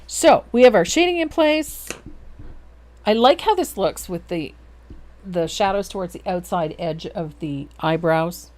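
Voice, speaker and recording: Low-voiced woman teaching painting. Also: a little slow. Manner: calm step-by-step instruction with frequent laughs. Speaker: painting instructor and host. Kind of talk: live painting demonstration.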